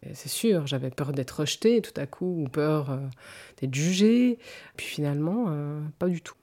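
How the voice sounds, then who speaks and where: A woman's voice: deep voice, lively intonation, moderate pace, dark tone. A woman, speaking in an interview in a thoughtful and hesitant way. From canton of Vaud, French-speaking Switzerland. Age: 40s. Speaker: interview guest. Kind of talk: personal testimony in an interview.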